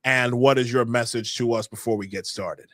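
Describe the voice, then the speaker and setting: A man's voice: mid-range voice, steady tone, moderate pace, dry recording, studio quality. A man hosting a casual podcast, giving showman-style, hyped introductions and joking at his own expense. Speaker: podcast host.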